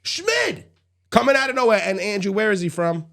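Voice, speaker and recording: Hoarse, excitable New man. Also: sits high, normal speed. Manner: excitable, bursts into shouting. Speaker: podcast host. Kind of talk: casual sports chat.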